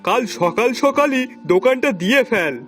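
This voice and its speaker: A bossy, good-hearted cartoon master performed by a man talks in a strikingly high voice. His manner is colloquial and teasing, and soft music runs behind him. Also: lively intonation, normal speed. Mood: curious, approving. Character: bossy but kindly master advising his servant. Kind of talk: cartoon story dialogue.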